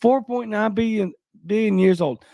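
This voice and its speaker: A man's voice: sits high, normal speed, creaky, dark tone, no room sound. A man with a Cajun accent hosting a casual livestream, reading news and viewer comments with skeptical, rambling asides. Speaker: livestream host.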